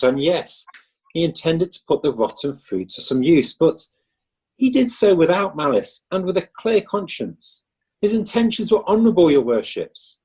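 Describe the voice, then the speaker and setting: An actor playing a defence lawyer pleading earnestly before the magistrates. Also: male voice, fairly high pitch, warm tone, breathy edge. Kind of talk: amateur audio drama acting.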